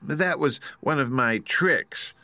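A man's voice: mid-range voice, slow, pushed and bright, no echo, pitched above the performer's own voice. A man voicing a meek, pleading little old fake wizard with a trembling voice, in a storybook reading. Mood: confiding.

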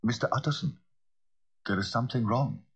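A man voicing a loyal, frightened old butler in an audiobook, with a hoarse, deferential, urgent delivery. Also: medium pitch, fairly quick, pitched above the performer's own voice, close to an everyday voice. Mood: fearful, troubled. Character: anxious loyal old butler, fearful for his master. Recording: slightly dull, lo-fi audio.

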